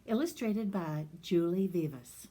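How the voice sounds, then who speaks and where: A woman who is a reading teacher, reading a picture book aloud to children in a gentle, soft manner that slips into whispers. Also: on the low side, measured pace.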